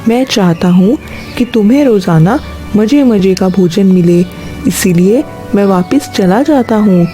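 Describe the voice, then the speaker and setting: A woman's voice: mid-range voice, lively intonation, a little slow, warm tone, faint music behind, close to an everyday voice. A woman voicing a sly, scheming male crow in a children's cartoon, with a persuasive, explaining manner.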